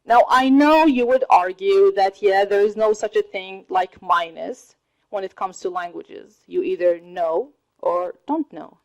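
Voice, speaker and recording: A woman in her thirties whose first language is Arabic, giving a slow, deliberate talk to a college audience and laughing often. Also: mid-range voice, darkish tone, lo-fi sound. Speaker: student speaker. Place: college hall.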